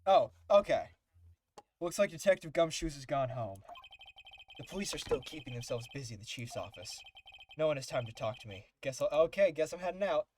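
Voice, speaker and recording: A man's voice: fairly high pitch, animated, a little fast, darkish tone, a little hiss or hum. A man hosting a live fandub stream with casual gaming banter over game music. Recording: music behind.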